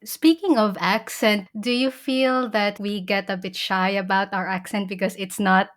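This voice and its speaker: A woman's voice: medium pitch, fairly even intonation, moderate pace, fairly bright tone. Woman talk show host from the Philippines, friendly interviewer. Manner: friendly interviewer, light laughs. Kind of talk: casual chat. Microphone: remote call recording.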